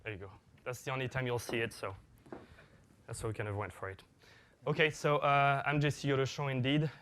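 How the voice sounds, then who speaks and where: A man's voice: mid-range voice, fairly even intonation, a little fast, fairly bright tone, a little hiss or hum. A man whose first language is French, giving a conference talk as an executive producer, with many fillers and restarts.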